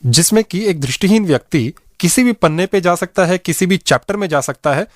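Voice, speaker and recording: A man's voice: high voice, expressive, fairly quick, dry recording, clean studio sound. A man with an Indian accent hosting a radio show, presenting and interviewing. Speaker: radio host.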